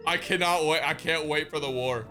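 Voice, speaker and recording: Bright-voiced man streamer over music. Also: on the high side, normal speed. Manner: slangy, profane, joking, hyperbolic. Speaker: live streamer reacting to a game. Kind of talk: casual livestream chat.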